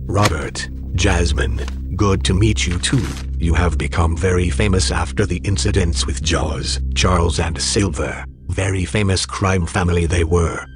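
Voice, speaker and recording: A man's voice: sits low, steady tone, a little slow, music in the background. A man playing an eager, courteous reporter in an audio drama, polite and intrigued. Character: Brad, eager, courteous male newsman keen for a story.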